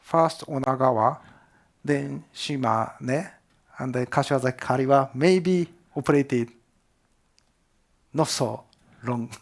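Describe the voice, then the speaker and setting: A man with a Japanese accent, a professor answering seminar questions in halting English and repeating words for emphasis. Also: medium pitch, vocal fry, darkish tone. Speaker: professor, expert speaker.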